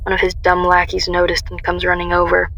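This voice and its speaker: A woman's voice: fairly low pitch, monotone, fairly quick, creaky, sharp tone, low-quality recording. A woman playing a young heroine who recounts her ordeal in intense first-person dramatic narration. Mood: tense intense.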